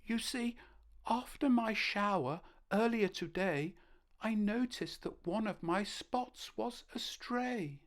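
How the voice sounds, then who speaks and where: A woman's voice: medium pitch, expressive, slow. A woman voicing a timid, anxious young female ladybird in a storybook, earnest and close to tears. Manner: sobbing, earnest, self-conscious. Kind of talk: storybook character voice.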